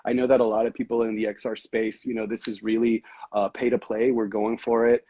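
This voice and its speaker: An upbeat man hosts a casual livestream, greeting viewers and giving shout-outs as he talks. His voice has a husky, slightly breathy grain. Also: lowish voice, little pitch movement, brisk. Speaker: livestream host.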